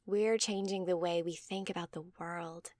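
A woman voicing a devoted, eager-to-please mother in a story, gushing and persuasive, with breathy emphasis. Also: lowish voice, steady tone, moderate pace, a little mellow, no room sound, clean studio sound, natural voice. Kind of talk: scripted story dialogue.

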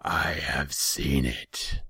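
A feline demon in a fantasy story. The voice is a very deep, husky growl that often sinks into a whisper. It speaks slowly, with sly, mocking deference. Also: male voice, fairly even intonation, sharp tone, no echo, full character voice. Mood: amused, mocking. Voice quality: rumbling, growling, breathy whisper. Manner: slow, mock-deferential.

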